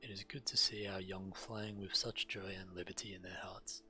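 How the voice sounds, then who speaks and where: A man's voice: low voice, little pitch movement, moderate pace, pushed and bright. A man streaming a video game, commenting on play and reading quest text aloud.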